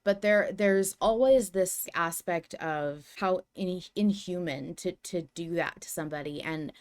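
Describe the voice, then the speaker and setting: A woman's voice: fairly low pitch, unhurried. A woman hosting a podcast, talking through ideas in a casual, musing fan discussion. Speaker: podcast host.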